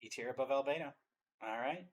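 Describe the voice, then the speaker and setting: A man's voice: medium pitch, fairly quick, lo-fi sound. A man hosting a stream, giving casual reaction commentary.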